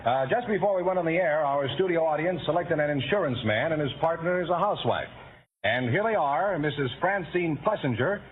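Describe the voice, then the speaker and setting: A man announcing scores and rules on a radio quiz show in a brisk, formal manner. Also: a little high, expressive, slightly rough. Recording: narrow lo-fi old radio broadcast.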